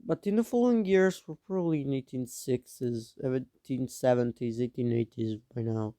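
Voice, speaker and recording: A man's voice: mid-range voice, normal speed, mellow, dry recording, studio quality. A young man narrating a map scenario in a casual, rambling way, with offhand teasing asides; he is a bit sick. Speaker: mapping video narrator.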